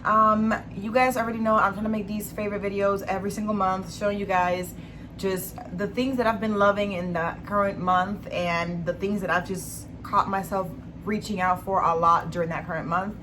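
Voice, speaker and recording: Woman, young adult, raspy hoarse voice, enthusiastic casual vlog, audible background noise. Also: medium pitch, level tone, moderate pace. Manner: chatty, enthusiastic, many fillers. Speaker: beauty vlogger. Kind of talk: casual monthly favorites vlog.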